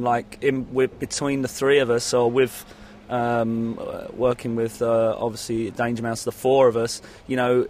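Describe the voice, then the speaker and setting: A man speaks in a casual interview at a middling pitch. He rambles and hesitates, padding his sentences with "you know", with faint city traffic noise around him. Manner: rambling, hesitant, peppered with 'you know'. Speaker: musician being interviewed.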